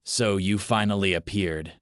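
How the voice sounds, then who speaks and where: A man's voice: low voice, steady tone, measured pace, fairly bright tone, no room sound, studio quality. A man voicing an arrogant, bloodthirsty young villain in an audiobook, with a taunting, theatrical manner. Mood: amused menace. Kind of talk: audiobook character dialogue.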